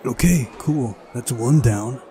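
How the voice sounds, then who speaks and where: A man's voice: medium pitch, takes it slowly, mellow. A man doing a visual novel playthrough, switching between reading narration aloud and casual commentary.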